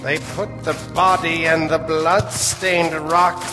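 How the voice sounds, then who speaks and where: Man acting an ominous, very slow radio-serial narrator. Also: fairly high pitch, flat delivery. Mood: ominous, knowing. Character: ominous, theatrical narrator of eerie tales. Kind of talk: old-time radio drama narration. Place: stage in a theater hall. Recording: echoey stage hall reverb. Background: music.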